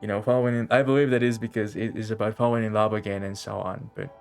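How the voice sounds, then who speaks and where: A man's voice: on the low side, level tone, quick pace, vocal fry, faint music behind. A man chatting casually on a game live stream, rambling and repeating phrases as he thinks aloud.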